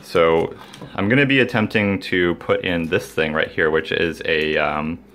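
Man, low voice, informal, enthusiastic, DIY vlog host, casual talk to viewers, home recording. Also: steady tone, measured pace, a bit bright, a little hiss or hum. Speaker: DIY video maker, host. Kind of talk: casual hobby project vlog, talking to viewers. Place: indoors, small home room.

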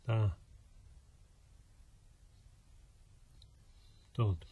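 An actor in a radio play voicing an exhausted, disgusted man who speaks in terse, curt commands with a rough voice. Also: takes it slowly, no room sound, narrow, tinny audio, close to an everyday voice. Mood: weary, terse. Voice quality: hoarse, rough.

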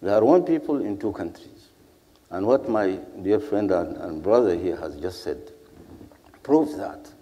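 Very deep older Sudanese man, echoing hall. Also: animated, dark tone. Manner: measured, deliberate, rhetorical repetition. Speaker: panelist. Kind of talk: panel speech, earnest public address. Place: seated on a stage in a large hall. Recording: echoey hall with long reverb.

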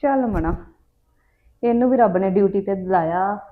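A woman's voice: mid-range voice, moderate pace, narrow, tinny audio, close to an everyday voice. A woman playing a cheerful, easygoing young wife who teases and jokes in a home comedy sketch. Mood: playful. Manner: playful, teasing.

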